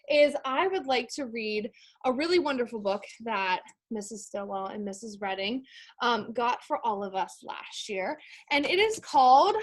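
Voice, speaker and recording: An American woman reading aloud to young schoolchildren as a teacher, informal and explanatory, addressing them as friends. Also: sits high. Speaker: elementary school teacher reading a picture book to pupils. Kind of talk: read-aloud lesson for young children.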